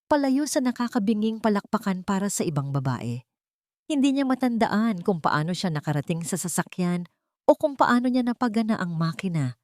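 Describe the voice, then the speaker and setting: A woman with a fairly low voice narrates a scripted romantic drama. Her delivery is measured and solemn, and the sound is dry, with very little room in it. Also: a little mellow, dry recording. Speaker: story narrator.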